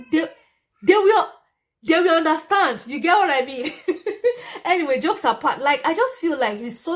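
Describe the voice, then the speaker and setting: A young Nigerian woman whose first language is Yoruba, chatting casually to her viewers and often checking in with "okay?". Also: sits high. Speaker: vlogger. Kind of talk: casual storytelling to camera.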